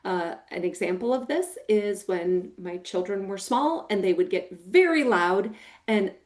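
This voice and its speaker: Mellow, big-voiced American woman in midlife, an emphatic trainer. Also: mid-range voice, a little slow. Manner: expressive, emphatic, warm-hearted teaching with a big voice. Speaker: program manager giving a training talk. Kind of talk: recorded training talk to foster parents.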